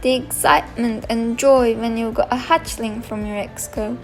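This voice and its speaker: A woman reading a heartfelt, measured reflection on her volunteering as a voice-over. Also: on the high side, steady tone, soft background music. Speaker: volunteer narrator.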